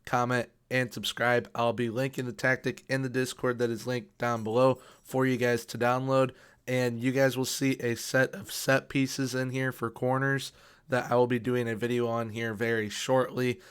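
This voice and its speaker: A young man talks through a video game in a casual, upbeat commentary, doubling words for emphasis. His voice is smooth and clean but held in a narrow, flat melody, and it sounds a little worn, as if he has been losing it lately. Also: mid-range voice, normal speed. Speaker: video game tactics youtuber.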